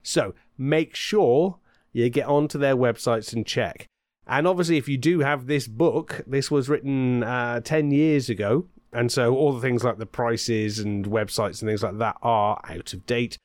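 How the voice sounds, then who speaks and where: A man's voice: mid-range voice, dry recording, clean studio sound. A man hosting a podcast, talking in a chatty, rambling way with some fillers. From Britain. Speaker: podcast host and author. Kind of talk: casual podcast chat.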